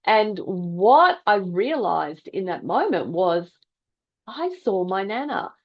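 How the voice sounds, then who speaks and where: Slow-talking woman telling a story. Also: medium pitch, sing-song, highs cut off. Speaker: health vlogger telling a personal story. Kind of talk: personal storytelling.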